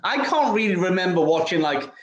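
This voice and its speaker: A man's voice: high voice, expressive, a little fast, a bit bright, echoey room. A man in his thirties hosting a casual group chat in an informal, sweary way. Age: 30s. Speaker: podcast host.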